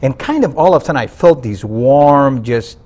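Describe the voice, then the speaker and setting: A man from Afghanistan, a guest on a religious talk program, telling his conversion story earnestly, halting with fillers and restarts. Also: mid-range voice, animated, dark tone. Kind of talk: interview testimony.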